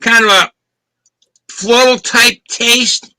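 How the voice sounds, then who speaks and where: A man's voice: extremely high pitch, fairly even intonation, slow pace, sharp tone, dry recording. A man with a Boston accent, chatting casually on a video call and describing a beer's taste in detail. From Boston, Massachusetts. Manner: detailed, descriptive talk about flavor. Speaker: beer reviewer, co-host. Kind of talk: casual chat, beer tasting review.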